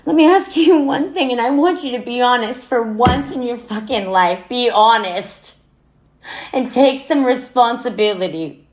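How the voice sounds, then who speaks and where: A woman acting a wounded, bitter grown daughter in a dramatic monologue, speaking haltingly and emotionally with a gravelly voice. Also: fairly high pitch. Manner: halting, emotional, with wry laughs and swearing. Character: bitter, wounded grown daughter addressing her dead mother. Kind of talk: dramatic monologue cold read.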